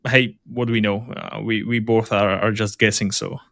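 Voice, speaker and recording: A man hosting a casual interview, speaking haltingly as he searches for words. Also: on the low side, flat delivery, creaky, fairly bright tone, no echo, clean studio sound. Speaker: podcast host, interviewer.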